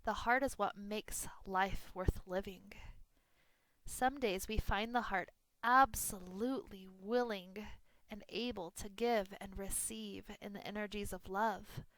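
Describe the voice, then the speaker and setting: A woman teaching guided meditation, speaking soothingly in unhurried phrases with pauses. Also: medium pitch, steady tone, fairly bright tone, no room sound, low-quality recording. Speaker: yoga nidra meditation teacher.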